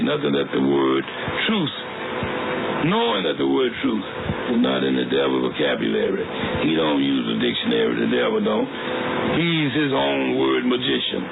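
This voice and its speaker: A man preaching a polemical radio monologue as host, halting now and then and repeating words, on a shortwave broadcast. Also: mid-range voice, gravelly. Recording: noisy, narrow-band shortwave radio broadcast.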